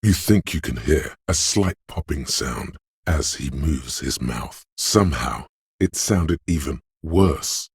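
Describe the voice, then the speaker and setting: Game inner voice, a grim, brooding sense-voice: extremely low, rough and gravelly, slow, hushed, dry close studio sound. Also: male voice, expressive, no echo, full character voice. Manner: slow, hushed, lingering on sensory detail. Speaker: voice of a game's inner perception skill. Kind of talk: second-person game narration.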